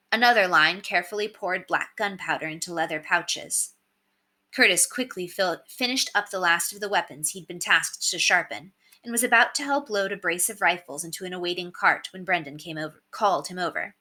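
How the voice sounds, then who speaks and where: A woman reading a children's novel aloud as scripted narration, in an expressive storytelling manner. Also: lowish voice, moderate pace. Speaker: storybook reader.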